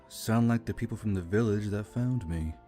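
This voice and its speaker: Male actor as musing magical spirit, fantasy audiobook; lowish, extremely mellow, measured delivery. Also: lightly acted. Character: pensive male spirit inside a magic torque. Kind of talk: audiobook character dialogue. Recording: music under the voice.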